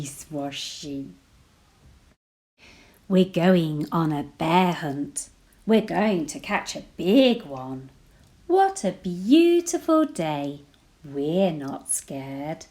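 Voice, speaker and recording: Slow, playful storytime teacher voice. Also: female voice, sits low. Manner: slow and playful, whispering sound words, rising to excited gasps. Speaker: teacher reading a picture book aloud. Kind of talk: expressive read-aloud storytelling for children.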